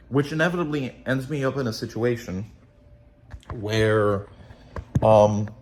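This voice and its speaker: A young man with a slight Polish accent, rambling frankly and swearing casually in a video journal. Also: medium pitch. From Poland. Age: young adult. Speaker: vlogger. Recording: echoey room, faint ambience.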